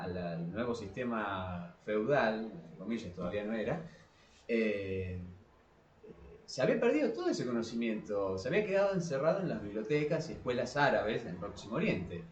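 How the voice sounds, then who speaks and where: A man with an Argentine Spanish accent hosting a casual podcast debate, explaining informally in a rambling, self-correcting way. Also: on the low side. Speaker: podcast host. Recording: echoey, reverberant room.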